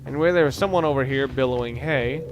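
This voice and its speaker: A man giving casual, halting gameplay commentary as a let's play host, rambling and thinking aloud as he plays. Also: mid-range voice, noisy background.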